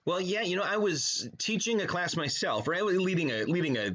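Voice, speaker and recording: A man's voice: high-pitched, very animated, brisk, a bit bright, rough recording. A middle-aged man speaking as a podcast guest in a casual, colloquial, jokey way, like someone chatting with a buddy. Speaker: podcast guest, author and pastor. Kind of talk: casual conversational interview.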